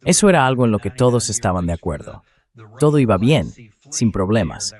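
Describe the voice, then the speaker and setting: A man's synthetic voice-over reading translated political commentary in a measured, explanatory way, as an interview guest. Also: on the low side, sing-song, a little mellow.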